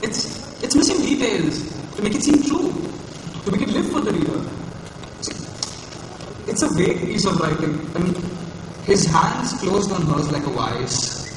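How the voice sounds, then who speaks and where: A man on stage playing an earnest, flustered writing teaching assistant who explains things haltingly. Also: high voice, big pitch swings. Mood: earnest, critical. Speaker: stage actor in a college play. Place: stage in an echoey hall, recorded from the audience. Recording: roomy hall from far off, loud hiss.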